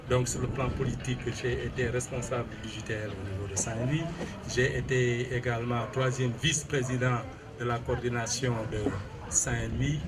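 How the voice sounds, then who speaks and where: A Senegalese man in his sixties giving a formal press statement, measured and hesitant with many fillers. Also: mid-range voice, very noisy. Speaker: retired civil administrator and local politician. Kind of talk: press statement answering an interviewer.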